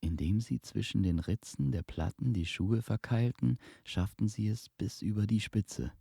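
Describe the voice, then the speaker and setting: A man narrating a fantasy tale in a suspenseful, measured storytelling manner. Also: fairly low pitch, vocal fry, dark tone, husky, dry recording, clean studio sound. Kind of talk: dramatic scripted fantasy narration.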